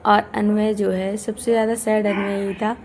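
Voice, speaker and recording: A woman in her thirties with a hoarse voice, chatting homely and warmly to her viewers as she narrates a travel vlog. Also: mid-range voice, flat delivery, moderate pace, slight background noise. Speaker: vlogger.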